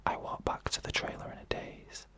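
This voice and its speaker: An ASMR storyteller narrating an eerie first-person tale entirely in a hushed whisper, with slow, deliberate phrasing and pauses, close to the microphone. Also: no echo.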